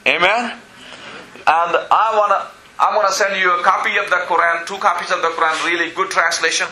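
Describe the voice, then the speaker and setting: A man's voice: sits high, fairly even intonation, brisk, pushed and bright, low-quality recording. A man from Pakistan, preaching a sermon in church, earnest and full of "you know" and calls of "Amen?". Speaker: pastor.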